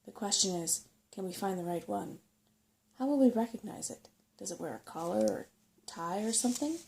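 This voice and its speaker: A woman's voice: medium pitch, animated, moderate pace, vocal fry, darkish tone, slight background noise. A woman voicing a doubtful, questioning human boy in a storybook, with a sceptical way of talking.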